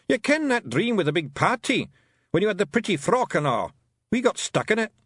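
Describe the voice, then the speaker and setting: A man's voice: high-pitched, sing-song, brisk, slightly rough, dry recording, clean studio sound, voice pushed up, full character voice. A man doing a brash tiny Scottish pixie chief. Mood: blustering. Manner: brash, loud, bossy. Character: boisterous tiny male pixie clan leader.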